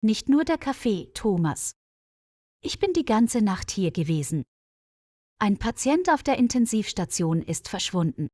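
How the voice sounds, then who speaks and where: A woman's voice: medium pitch, sing-song, unhurried, dark tone, dry recording, studio quality. A woman narrator voicing a tired, worried female hospital colleague in a story, earnest and explanatory.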